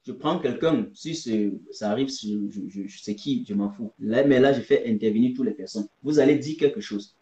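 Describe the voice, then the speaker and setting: A man's voice: mid-range voice, normal speed, few pauses, warm tone, muffled recording. A man from Cameroon, hosting a political live stream, emphatic and repeating his points straight to viewers. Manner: emphatic, repeats phrases, addresses viewers directly. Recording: roomy echo.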